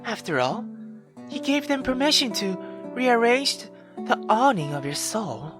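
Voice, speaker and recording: A bitter, menacing mother in a visual novel, acted out by a man. Her voice is high and swings widely as she domineers over her child with deliberate intensity. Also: big pitch swings, over music, exaggerated voice. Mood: cold, bitter.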